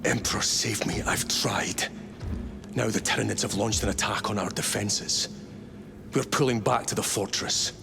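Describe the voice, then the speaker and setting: A gravelly, war-weary captain. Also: male voice, fairly low pitch, normal speed, over music. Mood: grim. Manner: clipped, burdened commands. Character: grim male space marine captain, weary and stern. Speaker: commanding captain. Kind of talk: game cutscene dialogue. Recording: game audio with score underneath.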